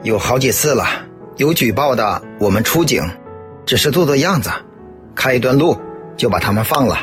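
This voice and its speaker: A man's voice: mid-range voice, expressive, moderate pace, fairly bright tone, soft background music, natural voice. A man acting a friendly, eager policeman in a radio drama, talking in a hearty, earnest way. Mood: agreeable, earnest.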